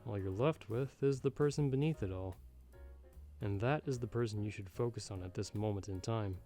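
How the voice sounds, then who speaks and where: A man playing a jaded, sardonic bartender in an audio roleplay, speaking in a measured, slightly formal, wry way over background music. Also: on the low side, warm tone, close to an everyday voice. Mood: earnest, measured. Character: jaded, sardonic male bartender giving frank, fatherly advice. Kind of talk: ASMR roleplay, scripted monologue to the listener.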